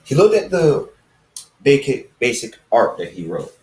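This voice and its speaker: A man reading fan fiction aloud as an amateur narrator, halting and often going back to repeat phrases. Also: mid-range voice, wildly swooping pitch, a little mellow. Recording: roomy echo, distant from the mic.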